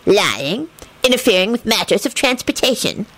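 Lady doing a fussy little train conductor man from an audiobook, bright and piercing, super self-important, swoops all over. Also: mid-range voice, sing-song, normal speed, voice pushed up. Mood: blustering. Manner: pompous, declamatory. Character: tiny pompous chubby male train conductor, suspicious accuser.

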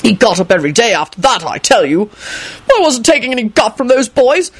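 A blustering older ex-soldier, put on by a woman reader, loud and spluttering with indignation. The voice sits high and strained as he barks and boasts. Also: sing-song, fairly quick, slightly rough, no room sound. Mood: furious, boastful. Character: blustering old man, ex-army officer.

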